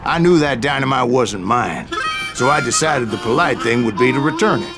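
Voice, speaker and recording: Male actor as old American frontier gunslinger, folksy, unhurried, mid-pitched, game narration over music. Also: expressive, close to an everyday voice. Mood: grim, proud. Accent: American Western. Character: old bounty hunter spinning tales of his gunslinging past. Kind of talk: scripted in-character storytelling narration.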